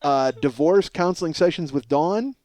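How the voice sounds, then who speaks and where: A man hosting a comedy podcast in a casual, rambling, profane and excitable ranting monologue. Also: fairly high pitch, normal speed. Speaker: podcast host.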